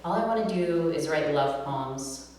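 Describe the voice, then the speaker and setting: A woman's voice: low voice, lively intonation, measured pace, darkish tone. An American woman with a nasal voice, giving a live poetry reading and introducing poems casually in her own words. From New York City, USA. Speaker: poet reading own work. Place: reverberant room at a live reading event. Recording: echoey hall, distant mic, humming noise.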